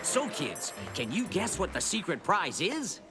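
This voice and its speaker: A man's voice: high voice, wildly swooping pitch, unhurried, over loud music, a loud crowd, heavily acted. A man voicing a showy department store contest announcer in a children's cartoon, theatrical and grandiose.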